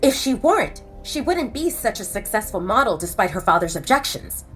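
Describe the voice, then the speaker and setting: A wary male werewolf adviser, voiced by a woman in an audio drama. The voice stays fairly high and animated, and the delivery is earnest and cautionary. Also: a little fast, faint music behind. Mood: warning.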